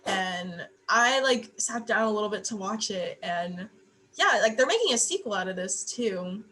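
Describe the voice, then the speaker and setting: A young woman guest chatting casually, rambling and trailing off softly. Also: mid-range voice, lively intonation, normal speed, rough recording. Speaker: podcast guest. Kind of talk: casual chat.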